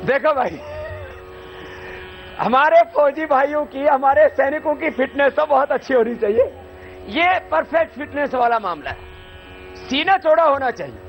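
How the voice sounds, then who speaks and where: High-pitched man teaching yoga, lively. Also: normal speed, gravelly, pauses often, highs cut off, soft background music. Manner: lively, demonstrative, folksy humor. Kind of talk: live yoga instruction to soldiers. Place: outdoor army yoga camp.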